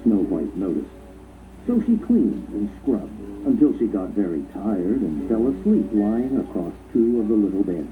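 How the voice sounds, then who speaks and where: A man reading scripted children's story narration as an expressive, dramatic storyteller. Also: fairly low pitch, measured pace. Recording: muffled phone-like audio with music behind.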